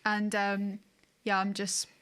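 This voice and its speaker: A woman's voice: medium pitch, monotone, takes it slowly, a bit bright, clean tone. A woman co-hosting a podcast, chatting casually about video games in an informal, wry storytelling way. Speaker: podcast co-host.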